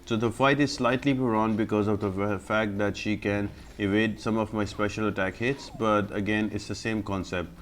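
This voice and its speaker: A man's voice: on the low side, fairly even intonation, normal speed, hardly any pauses, a little hiss or hum. A man giving explanatory gameplay commentary as a guide host, in an instructional, step-by-step manner.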